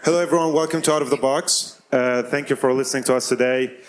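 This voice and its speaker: A man's voice: medium pitch, steady tone, quick pace. A man moderating a live panel, introducing guests and putting questions to them. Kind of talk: event moderation. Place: live event stage.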